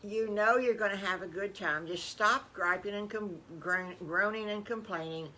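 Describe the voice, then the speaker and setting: A woman voicing a firm, patient mother pig in a children's story, calmly giving instructions. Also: mid-range voice, moderate pace, slight background noise, natural voice.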